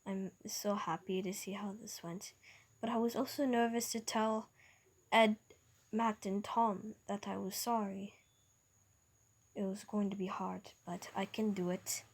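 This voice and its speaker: A bashful young man proposes in a hushed, stumbling voice with a Norwegian accent, put on by a woman. His words come slowly and unevenly, with many restarts. It is a homemade fan story reading. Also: mid-range voice, steady tone, breathy edge. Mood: reflective. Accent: Norwegian (imitated). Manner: hesitant, quiet, stumbling. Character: nervous young man proposing, soft-spoken.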